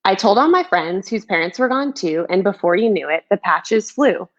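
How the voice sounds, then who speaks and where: A woman's voice: medium pitch, animated, normal speed, muffled recording. A woman who is a children's book author, speaking in a storybook read-aloud or chatty setting. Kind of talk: storybook read-aloud, rhyming, then casual chat.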